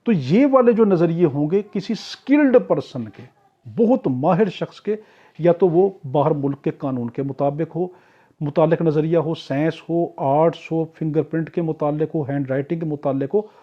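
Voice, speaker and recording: High-voiced Pakistani man teaching, muffled sound. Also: normal speed, dark tone. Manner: didactic, repeats key points, poses questions then answers them. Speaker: teacher, law exam tutor. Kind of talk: explanatory lecture for exam preparation. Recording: dull, lo-fi audio.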